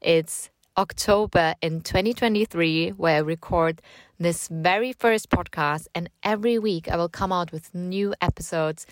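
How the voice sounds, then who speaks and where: A woman's voice: on the low side, measured pace, no echo. Chatty woman with a slight German accent. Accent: German, with slight American and British touches. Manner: casual, chatty, repeats words, rambling asides. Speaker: podcast host and writing coach. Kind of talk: solo podcast talk. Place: home in the countryside.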